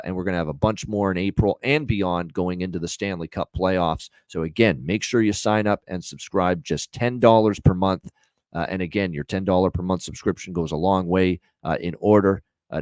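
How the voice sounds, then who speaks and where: Casting: male betting-show host, lowish voice, upbeat and repetitive, dry close mic. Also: no room sound. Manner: energetic, repeats himself, many "uh" fillers. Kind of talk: solo podcast promo and picks.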